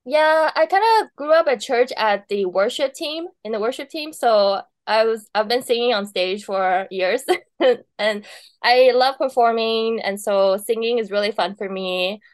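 Woman from taiwan, wedding planner guest, kinda high voice, laughs a lot, dry close mic. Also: a little slow, dry recording. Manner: chatty, laughs often, lots of fillers. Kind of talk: casual interview chat.

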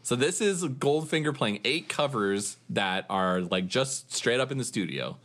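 A man's voice: mid-range voice, lively intonation, a little slow. A man co-hosting a casual music podcast, joking and introducing each track with band trivia. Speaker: podcast co-host presenting songs. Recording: loud music playing underneath.

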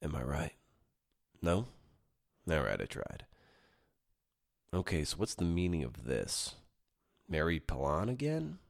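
A man's voice: very deep voice, big pitch swings, takes it slowly, creaky, pauses often. A man hosting a podcast, narrating a casual scripted intro with playful, informal asides. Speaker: podcast host. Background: guitar music.

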